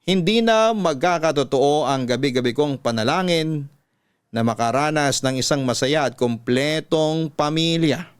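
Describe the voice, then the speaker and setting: A man's voice: a little high, a little slow, clear, resonant tone, no room sound, clean studio sound. A man narrating a dramatic story as a radio host, reading scripted first-person lines.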